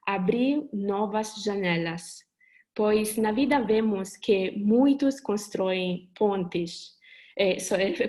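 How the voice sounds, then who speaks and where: A woman from Italy with an Italian accent speaking Portuguese, giving an earnest webinar talk over a video call and pausing now and then to search for words. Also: mid-range voice, a little mellow. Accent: Italian, with Brazilian Portuguese touches.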